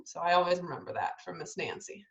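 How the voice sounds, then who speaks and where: A woman's voice: lowish voice, quick pace. Chatty young woman on a lo-fi call. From Italy, raised in Southern California. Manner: chatty, relaxed, with frequent ums and restarts. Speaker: ballet company dancer. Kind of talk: casual interview over video call.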